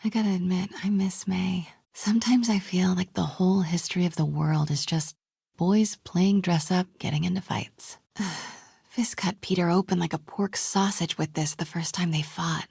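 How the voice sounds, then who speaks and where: An actress playing a brave, quick-witted young woman reporter in a video game, with an American accent, a breathy, husky voice and a wry, conversational, often hushed delivery. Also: on the low side, fairly even intonation, no room sound, clean studio sound, exaggerated voice. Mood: wry, musing. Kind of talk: naturalistic video game voice acting.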